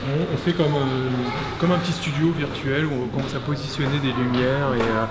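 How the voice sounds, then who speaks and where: A man giving a casual tour of an animation studio, chatty and quick to laugh, with lots of fillers and restarts. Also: medium pitch, fairly even intonation, heavy background noise. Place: animation studio offices, indoors.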